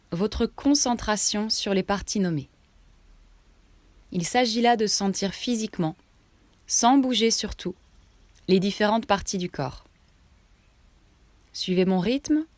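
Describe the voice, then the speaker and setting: A woman guiding a relaxation meditation, giving instructions in short phrases with pauses between them. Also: medium pitch, darkish tone, no room sound. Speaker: yoga nidra meditation guide.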